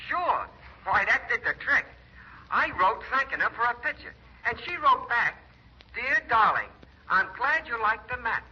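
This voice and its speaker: An actor playing an eager, excitable, slangy young soldier in love, heard over a telephone in 1940s radio comedy. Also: male voice, very high-pitched, measured pace, slightly rough, heavily acted. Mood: elated. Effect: telephone filter. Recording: thin phone line on old radio.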